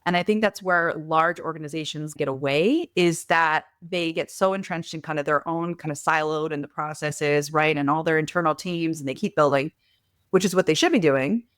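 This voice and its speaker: A woman consultant answering interview questions in a brisk, conversational way, often adding "like" and "right?". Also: sits low, clean tone, no echo, clean studio sound. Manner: energetic, uses "like" and "right?" often. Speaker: guest mentor, e-commerce consultant.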